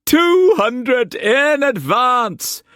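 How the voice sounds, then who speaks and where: Excitable old male painter; high, swooping, grandiose, in a dry booth. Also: very slow, dry recording, heavily acted. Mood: ecstatic. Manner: expansive, exclamatory, grandly theatrical. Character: flamboyant little old male painter, theatrical and scrounging.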